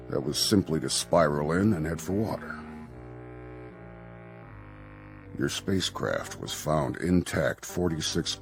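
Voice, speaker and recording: An actor playing a stern Air Force general in a radio drama, speaking in a deliberate, formal, official manner. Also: male voice, extremely low pitch, creaky, a little mellow, full character voice. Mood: pressing, probing.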